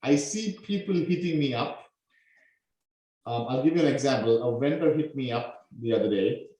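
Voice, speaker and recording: A man originally from Sri Lanka, coaching students on a live webinar in an energetic way, often tagging his points with a quick "okay?". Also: medium pitch. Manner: energetic, peppers talk with "okay?". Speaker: online business coach. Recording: very echoey room.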